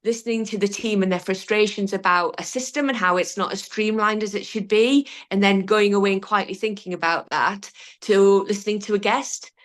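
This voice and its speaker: A woman's voice: medium pitch, steady tone, brisk. A middle-aged woman with a Geordie accent, a hotel director speaking reflectively in a business interview, with frequent ums and restarts. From Newcastle, England.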